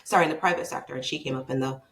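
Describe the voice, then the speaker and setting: A woman's voice: rumbling low, steady tone, very fast, vocal fry, fairly bright tone. A woman from Barbados, a leadership consultant, talking as a guest in a conversational podcast interview, reflective and emphatic.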